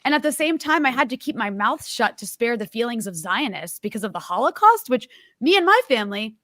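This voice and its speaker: A woman's voice: fairly high pitch, big pitch swings, fairly quick, a bit bright, no room sound, clean studio sound. A woman in her thirties hosting an interview, talking in an emphatic, impassioned way. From United States. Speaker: host.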